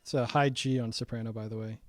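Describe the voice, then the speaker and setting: A young man walking through his own saxophone arrangement in a casual, halting way, full of ums and restarts, his voice getting raspy. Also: medium pitch, steady tone, over loud music. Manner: halting, many ums and restarts, thinking aloud. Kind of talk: casual screen-share breakdown.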